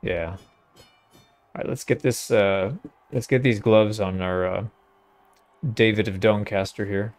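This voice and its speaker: A man's voice: on the low side, steady tone, slow, long pauses. A man giving casual gameplay commentary, thinking aloud in a laid-back way as he hosts a playthrough. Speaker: host of a gaming playthrough.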